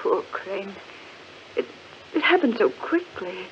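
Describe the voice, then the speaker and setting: A woman's voice: mid-range voice, sing-song, slow pace, a little hiss or hum. Anxious woman in muffled radio horror. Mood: anxious dread. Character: frightened, anxious woman lost in a dark forest. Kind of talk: 1940s radio horror acting. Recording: muffled 1940s radio recording with music.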